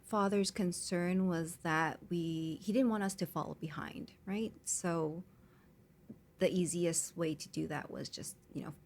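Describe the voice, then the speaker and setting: A woman's voice: fairly low pitch, fairly even intonation, measured pace, darkish tone. A woman born in Hong Kong and raised in Canada, speaking as a guest in an interview. She talks in an easygoing way with frequent fillers. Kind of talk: conversational interview.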